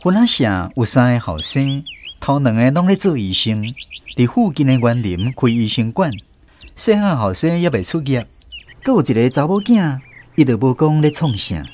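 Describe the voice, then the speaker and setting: A man's voice: mid-range voice, very animated, moderate pace, a little mellow, a little hiss or hum, natural voice. A man playing a storyteller who recalls his naive country boyhood, narrating a radio drama with a Taiwanese accent. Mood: fond, descriptive.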